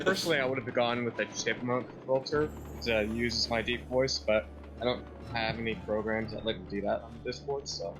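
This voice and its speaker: A man's voice: medium pitch, fairly even intonation, normal speed. A man chatting casually on a stream call in a dry, offhand way. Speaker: stream guest. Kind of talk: casual chat.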